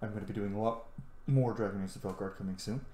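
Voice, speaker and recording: A man's voice: lowish voice, fairly even intonation, fast, mellow, slight background noise. A man hosting a let's play with casual, chatty commentary, thinking and reacting aloud. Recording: roomy echo, game music behind.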